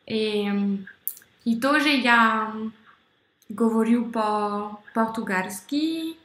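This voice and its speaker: A young woman with a French accent, speaking slowly and haltingly on a video call, searching for words with many fillers. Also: a little high, fairly bright tone, reverberant. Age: young adult. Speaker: language-learning video host. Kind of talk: halting conversation in a learned language. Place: small room indoors. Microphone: red headphones, webcam video call.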